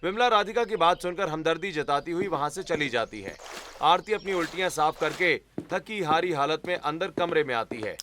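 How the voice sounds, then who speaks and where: Higher-pitched man narrating a story. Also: expressive, moderate pace, a bit bright, slight background noise.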